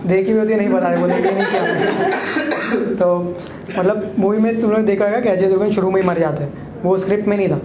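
A man's voice: high voice, steady tone, fast. Young man doing stand-up in an echoey club. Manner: casual, crude storytelling with asides to the crowd. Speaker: stand-up comedian. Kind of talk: stand-up comedy set. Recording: echoey club room, loud crowd laughter. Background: audience laughing.

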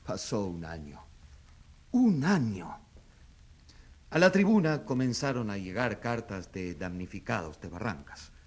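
A man's voice: lowish voice, very animated, unhurried, long pauses, slightly rough, heavily acted. A man playing an indignant opposition newspaper editor testifying in a radio courtroom drama, with emphatic, rhetorical delivery. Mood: grave, indignant.

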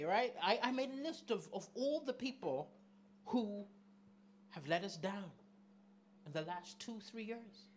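A middle-aged man from southern Africa works a small comedy club, talking into a handheld mic. His voice sits unusually high for a man, and he keeps things chatty and animated, throwing questions out to the crowd between jokes. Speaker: stand-up comedian. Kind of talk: stand-up comedy set with crowd work.

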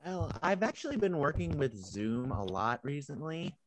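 A man's voice: fairly high pitch, big pitch swings, moderate pace, a crowd in the background. A young man chatting casually as an actor on a video call. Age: young adult. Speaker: amateur actor on a panel. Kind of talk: casual chat. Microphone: video call microphone.

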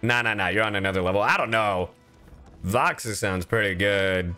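A man's voice: lowish voice, moderate pace, clear, resonant tone, faint music behind. A man chatting casually and playfully on a livestream as a streamer and voice actor. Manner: animated, playful, casual swearing. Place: indoor livestream with game music.

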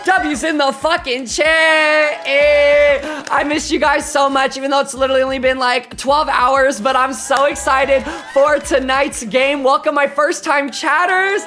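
High-pitched, animated young male livestreamer. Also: measured pace, hardly any pauses, faint music behind. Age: 20s. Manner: animated, effusive, campy. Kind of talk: hyped casual chat to live viewers. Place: small bedroom streaming setup, indoors.

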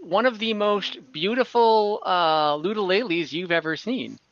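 A man narrating an online tabletop game as game master, casual, halting and lightly amused, improvising as he goes. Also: high voice, a bit bright, no echo. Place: at home indoors on a group video call. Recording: slightly dull video-call audio.

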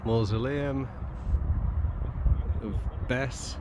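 A man giving impromptu walking-tour commentary outdoors in short, halting, matter-of-fact phrases. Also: mid-range voice. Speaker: travel vlogger. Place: outdoors at open seaside ruins. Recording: outdoor phone recording with ambient noise.

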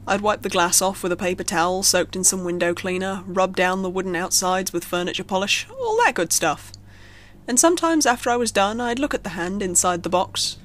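A woman's voice: medium pitch, lively intonation, a little fast. A woman reading a scripted horror story as a narrator, telling it expressively. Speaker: creepypasta narrator. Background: background music.

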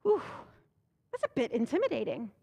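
Young American woman pastor, high voice, emphatic sermon delivery at a pulpit mic. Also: huge pitch swings, moderate pace, gravelly, mellow, husky, big-room sound. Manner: rhetorical questions, emphatic rising delivery. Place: church sanctuary. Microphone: pulpit microphone.

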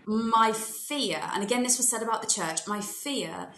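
A woman's voice: on the high side, lively intonation, moderate pace, fairly bright tone. A young adult woman, a youth worker, speaking earnestly and thoughtfully on a video call panel. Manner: earnest, thoughtful, recounts conversations. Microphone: video call webcam. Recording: echoey room with faint noise.

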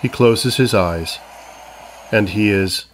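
A man's voice: fairly low pitch, slow pace, slight background noise. A man reading scripted narration for a comedy story, as its narrator.

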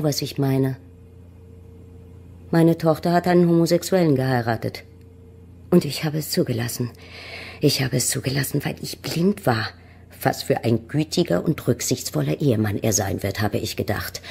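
An actress playing a prim, regretful middle-aged mother in a radio drama, measured and rueful, with a husky, creaky voice. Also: extremely low pitch, a little mellow, full character voice. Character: regretful, proper older mother of a married daughter. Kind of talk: German radio drama acting.

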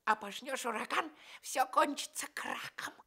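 An actress playing a perky, cheeky little dog with a pert, emphatic manner in a children's radio play. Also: female voice, sits high, measured pace, creaky, bright, forceful tone, slightly rough, exaggerated voice. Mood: cocky, gleeful.

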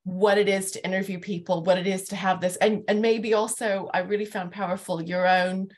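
A woman in her forties with a medium-pitched voice leads a discussion in an earnest, considered way. She feels for her phrasing as she talks, speaking on a headset over a video call from home. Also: steady tone, fairly quick. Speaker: host, interviewer. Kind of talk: thoughtful discussion hosting. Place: living room at home, indoors, on a video call. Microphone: wired earphone headset on a video call.

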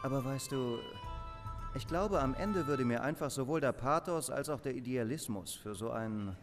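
A man's voice: medium pitch, animated, normal speed, over music, lightly acted. An actor playing a cynical male mercenary in a game, talking in a dry, mocking way. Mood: wry. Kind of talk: game voice acting.

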